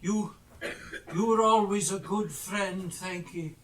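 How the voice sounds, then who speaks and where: A man's voice: high voice, slow. A man on stage playing a skeptical, blustering old miser who is easily frightened, in theatrical style. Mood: timid, hesitant. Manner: blustering, stammering when frightened. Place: theatre stage, recorded live. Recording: distant live stage play recording.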